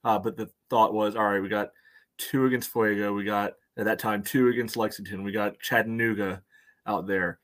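A man's voice: fairly low pitch, steady tone, moderate pace, a bit bright. A man co-hosting a sports fan podcast, chatting casually in a wry, frank, hesitant way. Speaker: co-host of a soccer fan podcast.

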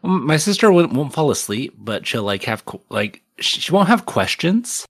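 A man's voice: fairly high pitch, big pitch swings, normal speed, slightly rough. A man co-hosting a podcast in casual chat, hesitant and full of restarts. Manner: hesitant, many likes and restarts. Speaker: podcast co-host.

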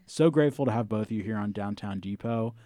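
Low, mellow-voiced male radio host. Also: quick pace. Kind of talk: public radio interview. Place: radio studio. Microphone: studio microphone.

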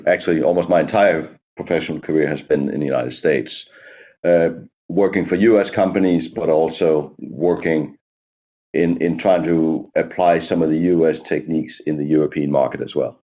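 Deep-voiced man on a tinny line. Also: steady tone. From Denmark. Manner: measured, hesitant, many ums. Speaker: guest, company executive. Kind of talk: interview answers.

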